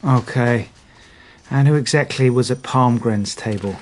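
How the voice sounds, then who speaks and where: A man's voice: mid-range voice, unhurried, natural voice. A man acting in a radio drama as an understated police chief inspector, terse and wry. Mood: terse, questioning.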